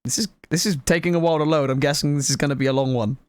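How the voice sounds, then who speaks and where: A gaming vlogger giving casual, excitable playthrough commentary with playful asides and exclamations. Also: mid-range voice, rapid-fire, no room sound. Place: indoors at a computer.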